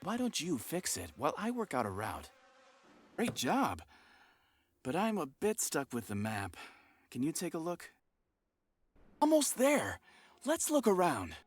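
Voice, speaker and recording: A man's voice: a little high, wildly swooping pitch, a little slow, long pauses, exaggerated voice. A man playing an eager, trusting young adventurer in a video game, chatty and earnest in manner. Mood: brisk, friendly. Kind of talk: video game cutscene acting.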